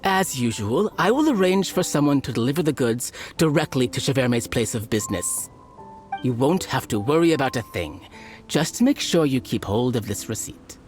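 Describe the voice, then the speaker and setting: Man as game shopkeeper, affable yet firm, courteous, mid-pitched, animated intonation, businesslike. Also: normal speed, close to an everyday voice. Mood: considering, businesslike. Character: affable but firm adult male drinks merchant. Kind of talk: video game cutscene acting. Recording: music behind the voice.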